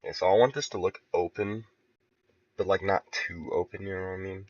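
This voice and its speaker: A man casually talking through his level building on a stream, relaxed and thinking aloud with soft chuckles. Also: deep voice, a little fast, no room sound, muffled recording. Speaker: game level creator streaming. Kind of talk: casual commentary while building.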